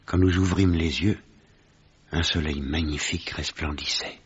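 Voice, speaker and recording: An actor playing a grave gentleman narrator who recounts horror in measured, literary French radio drama style. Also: rumbling low, fairly even intonation, breathy edge, full character voice. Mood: relieved. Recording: dull, noisy old radio recording.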